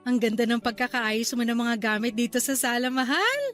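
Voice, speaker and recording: Actress as high-voiced affectionate young wife. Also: female voice, fairly even intonation, fairly quick, a bit bright, soft background music, lightly acted. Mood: affectionate, pleased. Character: affectionate young wife and new mother, apologetic, content. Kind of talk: Tagalog radio drama acting.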